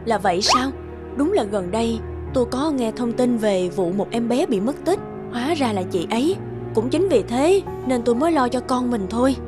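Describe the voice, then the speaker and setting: A woman's voice: fairly high pitch, lively intonation, moderate pace, natural voice. A woman playing an anxious, protective young mother in a cartoon drama. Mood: sympathetic. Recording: music under the voice.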